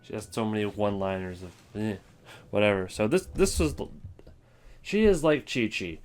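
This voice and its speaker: A man's voice: fairly low pitch, normal speed, darkish tone. A man hosting a casual ranking video with wry, offhand commentary. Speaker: video host.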